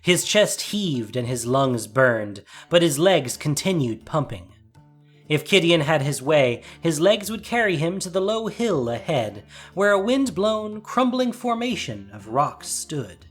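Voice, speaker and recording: A man giving a scripted, dramatic fantasy narration as storyteller, measured and suspenseful. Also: fairly high pitch, very animated. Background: music.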